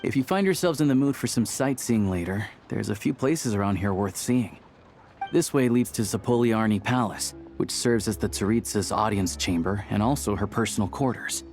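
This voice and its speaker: A man's voice: lowish voice, darkish tone, close to an everyday voice. A man playing an easygoing hunter and town guide in a video game, speaking in a casual, helpful, matter-of-fact way. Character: easygoing young male small-time hunter acting as town guide. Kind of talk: video game character acting.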